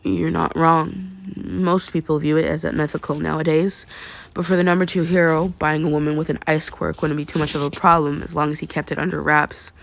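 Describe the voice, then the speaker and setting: A woman voicing a stoic teenage boy in a story, subdued, matter-of-fact and monotone. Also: low-pitched, a little fast, gravelly. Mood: flat, resigned.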